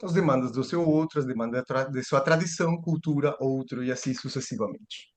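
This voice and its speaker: A man's voice: medium pitch, brisk. A man giving a seminar lecture, thinking aloud with restarts and rhetorical questions.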